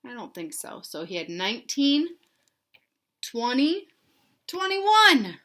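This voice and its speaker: Casting: woman storyteller for children, bright and a touch high, expressive, talks directly to little listeners in simple words. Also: takes it slowly. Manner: asks young listeners questions, explains in simple words. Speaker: read-aloud storyteller for children. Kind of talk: picture book read-aloud with asides to children.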